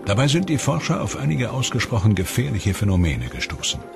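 A man with a very low, deep voice reads documentary narration in a measured, dramatic way. It is a clean studio voice-over with clear music running underneath. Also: wildly swooping pitch. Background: clear background music.

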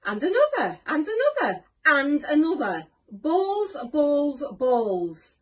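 A woman acts out a cheeky schoolgirl in a children's storybook. She sounds falsely innocent and sympathetic, with a smirk underneath. Her pitch is high and swings up and down. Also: sing-song, normal speed. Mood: gleefully teasing. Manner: mock-innocent, feigning sympathy and incredulity.

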